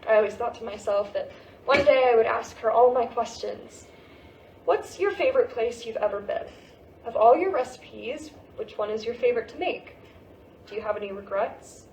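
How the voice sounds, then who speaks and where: A woman's voice: fairly high pitch, moderate pace, darkish tone, close to an everyday voice. A woman playing a grieving, searching American teenage girl in an emotional monologue at a staged play reading. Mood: wistful, grieving. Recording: echoey stage recording with faint noise.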